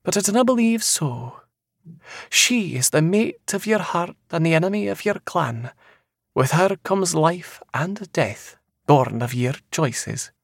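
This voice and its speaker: A man's voice: a little high, expressive, measured pace, creaky, no room sound, studio quality, voice pushed up, exaggerated voice. A man voicing an eerie female seer with a Scottish accent, making cryptic, certain prophecies in archaic dialect for an audiobook. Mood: flatly certain. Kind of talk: dramatic audiobook character voice.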